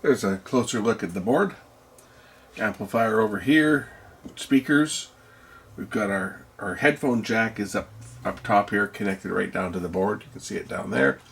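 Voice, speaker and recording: A man with a gravelly voice giving casual, hands-on electronics commentary, musing aloud and asking himself questions as a video host. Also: lowish voice, moderate pace.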